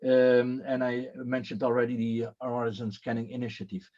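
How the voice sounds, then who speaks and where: A man's voice: mid-range voice, completely flat delivery, normal speed, mellow. Hesitant man on a muffled call. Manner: hesitant, many fillers and restarts. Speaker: panelist. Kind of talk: conference panel remarks.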